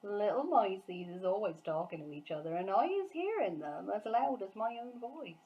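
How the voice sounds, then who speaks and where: Storybook giant, played by a woman: proud, earnest, wonder-struck, emphatic phrasing with very animated pitch. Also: moderate pace, lo-fi sound. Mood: excited wonder. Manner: emphatic, quaint muddled grammar. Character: big friendly giant man, earnest, proud, childlike wonder. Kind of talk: acted children's storybook dialogue.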